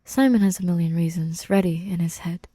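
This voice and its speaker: Casting: female audiobook reader, lowish and husky-breathy, subdued, halting, intimate storytelling. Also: mellow, no echo. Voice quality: breathy, often near a whisper. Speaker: podfic reader. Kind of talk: scripted fiction narration, intimate and subdued.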